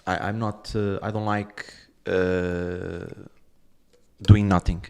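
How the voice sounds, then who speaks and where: A middle-aged Portuguese man speaking English as a second language, halting and repeating words while he searches for them. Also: low-pitched, level tone, creaky, mellow, clean tone. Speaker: real estate expert guest. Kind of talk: casual conversation.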